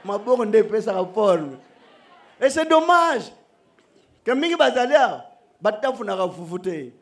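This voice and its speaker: A middle-aged man preaching a sermon into a stand microphone on an outdoor church stage, animated and joking, swinging between shouts and soft asides. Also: high voice, normal speed.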